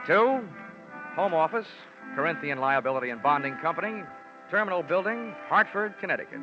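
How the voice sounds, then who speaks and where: A wisecracking American freelance investigator in old radio detective style. His delivery swings animatedly with theatrical, sardonic wit. The recording is dull, with music behind it. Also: fairly high pitch. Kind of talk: 1940s radio acting, first-person detective narration.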